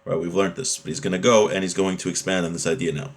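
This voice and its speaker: Guy with a lowish voice teaching a torah class, chatty explainer style, everyday examples. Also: steady tone, fast. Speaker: religious teacher. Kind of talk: informal Torah class, reading a text and explaining it.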